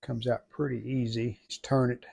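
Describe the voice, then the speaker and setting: A man giving casual how-to narration as a hobbyist restorer, plain-spoken and folksy, low-key, talking in short phrases. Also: flat delivery, normal speed, gravelly, darkish tone, husky. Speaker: hobbyist restorer demonstrating a repair.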